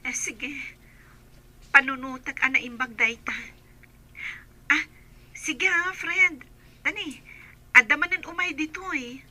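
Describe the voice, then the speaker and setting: A woman's voice: high-pitched, takes it slowly, long pauses, sharp tone, full character voice, low-quality recording. A woman playing a hesitant, demure young female employee in a Filipino radio drama. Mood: confiding, hesitant.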